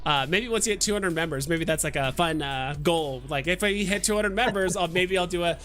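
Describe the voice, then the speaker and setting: A man's voice: high-pitched, a little fast, a bit bright, soft background music. A man hosting a livestream in casual chat, hesitant and often thinking aloud. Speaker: livestream host.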